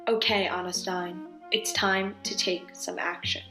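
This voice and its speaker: A woman's voice: fairly low pitch, level tone, measured pace, natural voice. A woman playing a worried but determined young mother in a wartime stage drama. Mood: resolute. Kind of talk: theatrical storytelling monologue and dialogue. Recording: roomy home recording with music underneath.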